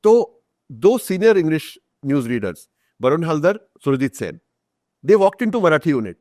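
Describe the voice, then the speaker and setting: Man, Indian accent, slightly high voice, emphatic conversational storyteller, Hindi-English mix, very dry recording. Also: sing-song, measured pace, darkish tone, no room sound. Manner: switches between Hindi and English. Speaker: author, podcast guest.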